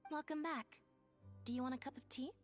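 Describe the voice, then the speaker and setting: A woman voicing a gentle, thoughtful young woman in a video game, speaking in a reflective manner. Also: high voice, steady tone, a little fast, over loud music, close to an everyday voice. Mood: welcoming.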